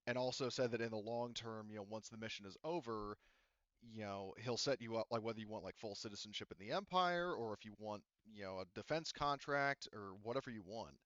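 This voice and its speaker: A man's voice: on the low side, a little fast, a bit bright, dry recording, dull audio. A man running a tabletop game as game master, relaying a character's offer in a rambling way with lots of fillers. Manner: rambling, many fillers like "you know" and "like".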